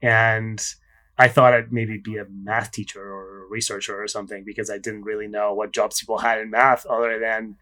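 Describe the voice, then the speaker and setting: A man's voice: on the low side, little pitch movement, pushed and bright. Raised man, reflective founder. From Spain. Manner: reflective, with many fillers and pauses. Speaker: startup founder guest. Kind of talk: casual interview talk.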